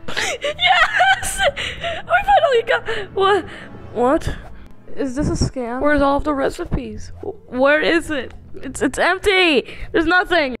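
Excitable cartoon stick figure played by a woman, high voice with big swoops, dramatic delivery. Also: takes it slowly, faint music behind, exaggerated voice. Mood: elated, then outraged. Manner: dramatic, exclamatory. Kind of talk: amateur cartoon dub.

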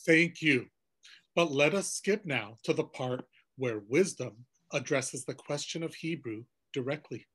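A middle-aged man moderating in a scripted comic exchange, hesitant and halting. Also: fairly high pitch, fairly bright tone, breathy edge. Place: indoor room at night.

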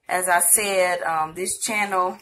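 A woman's voice: lowish voice, fairly even intonation, slow, a bit bright. Chatty woman cooking in her kitchen. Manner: chatty, rambling, talks straight to viewers. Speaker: home cooking channel host. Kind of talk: casual cooking demo chat. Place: home kitchen. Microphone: handheld phone camera.

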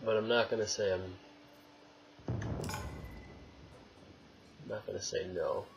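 A man's voice: fairly low pitch, fairly even intonation, slow pace, vocal fry, highs cut off. Young male let's play host: casual, wry, profane, chatting to game characters, some background noise. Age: young adult. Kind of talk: casual gameplay commentary. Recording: noisy, game sound behind voice.

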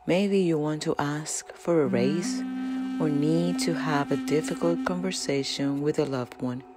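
A meditation guide speaks at a very unhurried pace, with gentle, deliberate instructions. Loud flute music plays throughout behind the voice. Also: medium pitch. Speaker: meditation guide, channel host.

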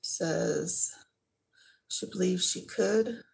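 Woman, 30s, home haul vlog; unhurried, gravelly voice, faint hiss behind. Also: on the low side, darkish tone. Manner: unhurried, trailing off while handling items. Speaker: vlogger. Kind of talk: casual thrift haul show-and-tell. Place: living room at home.

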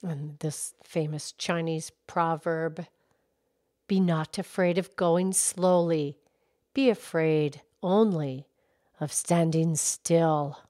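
A woman voicing a calm, knowledgeable grown-up cow puppet, speaking in a soft, measured, teacher-like way in a children's puppet show. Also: low voice, dry recording, clean studio sound. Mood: gentle, measured.

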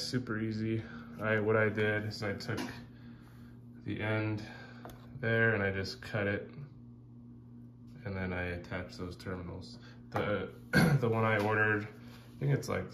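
A man with a Southern American accent talking through a how-to at a workshop bench, relaxed and full of ums and uhs. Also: on the low side, creaky, background noise. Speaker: fishing channel host. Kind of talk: casual how-to walkthrough. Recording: loud guitar music behind.

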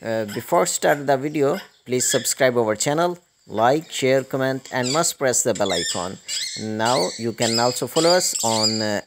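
A man hosting a hands-on electronics repair tutorial, explaining step by step in a halting manner. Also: medium pitch. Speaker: host of electronics repair channel. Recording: clearly noisy recording with clicking.

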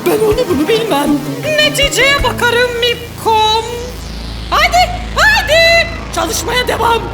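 An imperious villain queen of germs for a cartoon. The voice is piercingly high and swoops about as she gives slow, haughty orders, demanding results. Loud music plays underneath. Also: very animated, full character voice. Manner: commanding, haughty, drawn-out. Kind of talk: children's cartoon villain acting.

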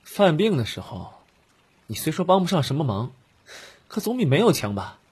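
A man voicing a husky, brooding young wanderer. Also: fairly high pitch, sing-song, moderate pace, a little mellow, pitched above the performer's own voice, exaggerated voice. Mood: musing, resigned. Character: young male Taoist wanderer, wary, brooding, talking to himself. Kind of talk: audiobook character dialogue.

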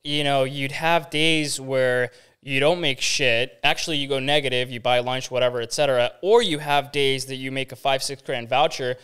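Clear, lowish-voiced man chatting casually. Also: steady tone, normal speed, few pauses, fairly bright tone. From born in Iran, raised in northern England. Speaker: podcast guest, former car salesman. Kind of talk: casual conversation.

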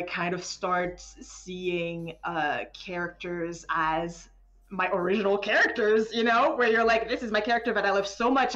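Animated woman on dull, lo-fi audio. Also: medium pitch. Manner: animated, self-interrupting, stammers over words. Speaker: game developer being interviewed. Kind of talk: casual live stream chat.

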